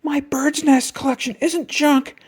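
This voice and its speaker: Storybook casting: young boy bear cub, played by an adult reader, defensive and indignant, pushed up in pitch. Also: fairly even intonation, measured pace. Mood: yelling, upset. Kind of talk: children's picture book read-aloud.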